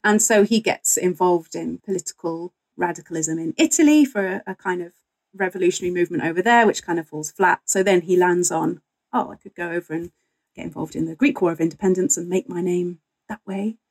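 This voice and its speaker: A woman's voice: fairly low pitch, fairly quick, rough recording. A woman speaking as a guest author and historian, explaining things in a casual, informal, slightly wry way.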